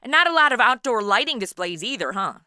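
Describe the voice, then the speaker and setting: Bright, animated woman hosting a podcast. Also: fairly high pitch, quick pace, clean tone, no room sound, studio quality. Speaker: podcast host. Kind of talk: conversational interviewing.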